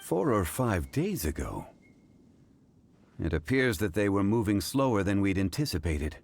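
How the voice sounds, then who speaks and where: An actor voicing a composed, dignified gentleman in a video game, speaking in formal, measured phrases. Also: on the low side, very animated, creaky, full character voice. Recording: game audio with music underneath.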